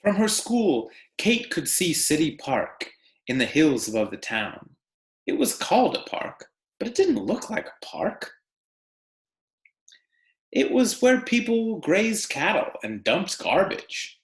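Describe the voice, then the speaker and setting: A man reads to young students like a teacher at story time. His pitch moves up and down freely over slow, deliberate phrases. The room adds a noticeable echo around his voice. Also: sing-song. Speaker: teacher reading aloud to students. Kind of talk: children's read-aloud with casual asides.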